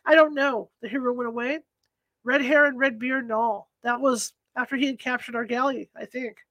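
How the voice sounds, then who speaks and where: A woman's voice: a little high, steady tone, normal speed, a bit bright, no echo. A woman reading aloud as a dreamy young man who speaks absently, as if half in a vision. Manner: absent-minded, distant storytelling.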